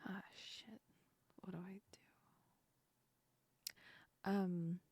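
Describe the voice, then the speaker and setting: Girl doing a female sleep demon asmr whisper, teasing but sweet, mellow with some creaky edges, right up in your ear. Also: medium pitch, fairly even intonation, heavily acted. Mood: flustered, apologetic. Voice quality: breathy whisper. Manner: slow, soft, close to the listener's ear. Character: teasing female sleep demon, menacing then apologetic and comforting. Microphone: very close ASMR microphone.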